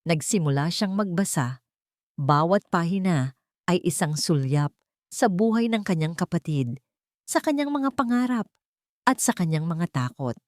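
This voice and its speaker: A woman with a Filipino accent reading a dramatic story in a measured, scripted audiobook manner. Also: fairly low pitch, lively intonation, no room sound, clean studio sound. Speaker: audiobook narrator.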